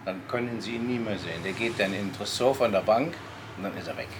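An older man, a shopkeeper, chatting frankly and haltingly, repeating words as he explains. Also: fairly low pitch. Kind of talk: casual conversation. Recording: location recording with clear background noise.

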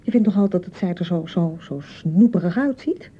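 Touchy newlywed wife, husky, phone-like sound. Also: female voice, lowish voice, lively intonation, moderate pace. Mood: sarcastic, jealous. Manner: nagging, sarcastic, repeating herself reproachfully. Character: touchy, insecure newlywed young wife on honeymoon. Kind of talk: naturalistic radio drama acting.

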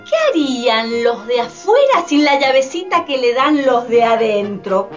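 A woman's voice: on the high side, sing-song, unhurried. A woman playing a fervent, indignant accuser in a radio play, emphatic and declamatory. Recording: dull lo-fi, faint music behind.

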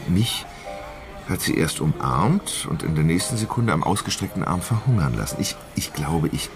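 Low-voiced, lively, hesitant village man. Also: moderate pace, over music. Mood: hesitant, defensive. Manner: hesitant, wry. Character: pensive, slightly sheepish village man, doubting and loyal. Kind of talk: naturalistic radio drama acting.

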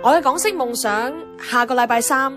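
A woman's voice: fairly high pitch, moderate pace, natural voice. A woman playing an eager, slightly flustered, polite young woman in a radio drama, with a Hong Kong accent. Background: music.

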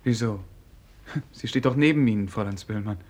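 A man acting an affable, awkward royal prince in a German radio play, courteous and halting, repeating himself, with a husky voice. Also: lowish voice, a little mellow, close to an everyday voice.

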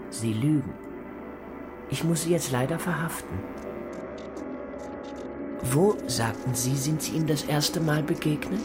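A man's voice: mid-range voice, lightly acted. Icily polite Gestapo interrogator played by a man, music behind. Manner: measured, pointed questioning with icy courtesy. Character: menacing Gestapo interrogator, coldly polite, probing, threatening. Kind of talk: German radio play acting.

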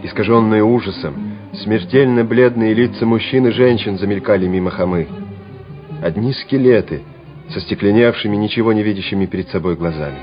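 A man's voice: lowish voice, brisk. Male radio drama narrator, muffled sound. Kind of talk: dramatic scripted narration of a war story. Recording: dull old radio drama recording. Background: music.

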